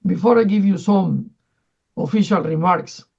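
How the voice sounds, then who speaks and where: A man from Ecuador giving formal remarks from afar over a remote link, halting and full of fillers. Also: sits high, vocal fry, a little mellow, breathy edge, lo-fi sound. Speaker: UN division director. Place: speaking remotely from another country.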